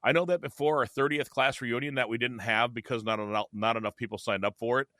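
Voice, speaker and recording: A man's voice: mid-range voice, steady tone, quick pace, pushed and bright, no echo, studio quality. A man in his fifties co-hosting a podcast, chatting casually and often repeating himself. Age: 50s. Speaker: podcast co-host. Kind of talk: casual chat.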